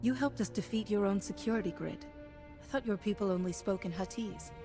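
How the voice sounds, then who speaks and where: A woman playing a calm, resolute Jedi heroine in a video game, speaking with composed firmness. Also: mid-range voice, a little fast, close to an everyday voice. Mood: firm. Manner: composed, firm. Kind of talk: video game cutscene acting. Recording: music playing behind.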